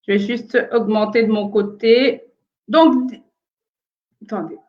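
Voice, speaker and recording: A woman's voice: medium pitch, steady tone, slow, a little mellow. Woman hosting a lo-fi home live stream. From France, of Congolese origin. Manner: hesitant, many fillers, struggling with sound problems. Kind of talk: casual live stream chat.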